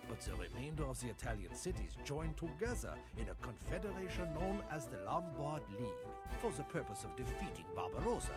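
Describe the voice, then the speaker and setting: An actor playing a grave storyteller who narrates medieval history for a game, in a theatrical, measured delivery. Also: male voice, on the high side. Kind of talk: scripted dramatic storytelling narration. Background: music.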